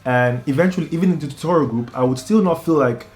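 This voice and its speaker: A man in his twenties, a medical student, talking casually to the camera in a relaxed way, often restarting his sentences. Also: on the high side, fast talker. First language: English. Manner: relaxed, repeats words and restarts, many ums. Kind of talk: casual talking-head vlog.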